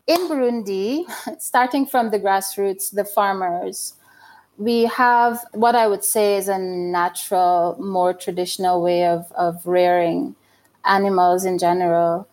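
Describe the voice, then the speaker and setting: A woman's voice: medium pitch, measured pace, darkish tone. Middle-aged woman explaining in conversation. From Guyana. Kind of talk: conversational interview answers.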